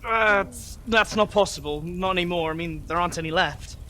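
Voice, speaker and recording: A man's voice: high-pitched, brisk, pushed and bright, slight background noise. A man playing a fussy, talkative storytelling companion in a video game, nervous and prone to stammering explanations. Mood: explaining. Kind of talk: video game character acting.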